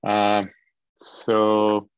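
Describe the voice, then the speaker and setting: Man, guest lecturer on a video call, flat even delivery, muffled dry audio, loose with fillers. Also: on the low side, drawn-out words, smooth, no room sound. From Colombia. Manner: relaxed, with frequent fillers and restarts. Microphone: video call microphone.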